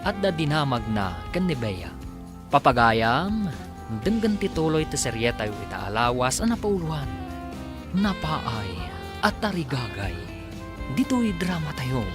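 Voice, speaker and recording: A man's voice: fairly high pitch, sing-song, unhurried. A man narrating a scripted radio drama. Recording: music laid under the voice.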